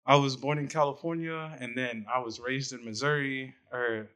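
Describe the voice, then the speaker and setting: A young man guesting on a radio interview as a rapper. His voice has a bright, clear edge, and he speaks softly and haltingly, often restarting his sentences. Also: medium pitch, steady tone. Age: young adult.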